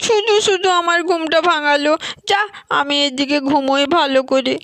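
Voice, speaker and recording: A woman's voice: high-pitched, moderate pace, fairly bright tone, no room sound. A woman voicing a grumpy, sleepy young girl doll who whines and scolds in a children's story. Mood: grumpy, annoyed.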